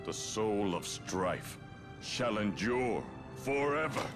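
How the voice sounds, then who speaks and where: An actor playing a sinister, gloating spirit of chaos with ominous, theatrical, drawn-out delivery in a video game cutscene. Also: male voice, on the low side, animated. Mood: grand, gloating. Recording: loud background music.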